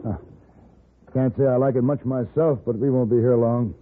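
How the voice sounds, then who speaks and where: An actor playing a cool, self-assured gunfighter in an old-time radio western, laconic and quietly commanding. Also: male voice, medium pitch, steady tone, close to an everyday voice. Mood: calm, assured. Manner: laconic, unhurried authority. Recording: lo-fi noisy vintage radio broadcast.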